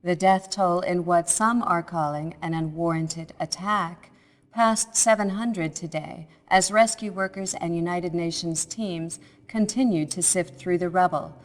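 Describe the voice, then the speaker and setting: An actress playing a serious television news anchor in a game cutscene, reading a formal report in measured phrases. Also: female voice, fairly low pitch, in a large hall, lightly acted.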